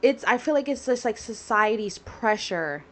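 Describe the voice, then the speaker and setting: A woman's voice: on the high side, lively intonation, moderate pace. A woman in her twenties hosting a solo podcast, talking casually and rambling through tangents with many fillers. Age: 20s. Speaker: podcast host.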